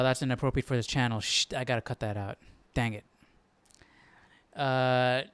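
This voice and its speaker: A man's voice: medium pitch, no room sound. A man hosting a live Bible study, chatting informally and thinking aloud. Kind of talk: casual livestream chat.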